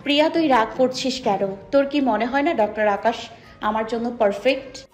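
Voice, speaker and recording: A woman plays a teasing young woman, easily embarrassed, with a high voice. Faint music sits under this romantic audio drama. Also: moderate pace, darkish tone, close to an everyday voice. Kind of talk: dramatized audio love story.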